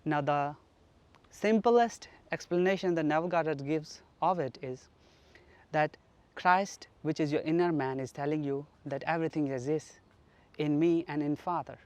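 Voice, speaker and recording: A man from Pakistan giving an earnest, reflective spoken teaching at home, his sentences often trailing off softly. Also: fairly high pitch, moderate pace, clean tone. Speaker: spiritual teacher. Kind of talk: solo spoken teaching on manifestation. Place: flat.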